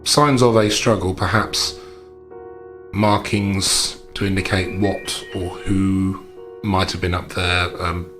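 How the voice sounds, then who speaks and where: Man with a lowish voice playing tabletop. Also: little pitch movement, slow pace, music in the background. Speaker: tabletop game player. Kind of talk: casual game table talk.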